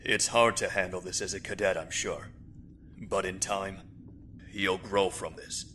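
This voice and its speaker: A man playing a solemn, steady military commander in a sci-fi anime parody. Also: low-pitched, measured pace, roomy sound, long reverb tail, slight background noise. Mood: consoling. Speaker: fleet commander.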